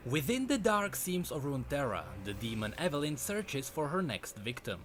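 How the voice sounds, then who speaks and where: Dramatic male lore narrator, animated pitch. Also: moderate pace, faint music behind. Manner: dramatic storytelling delivery. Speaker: narrator of a game lore video. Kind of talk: scripted narration.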